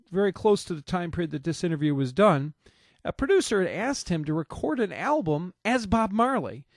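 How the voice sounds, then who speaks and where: A man hosting, introducing an old interview in a measured, explanatory way. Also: high voice, no echo. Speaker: host and interviewer. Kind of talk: introductory narration.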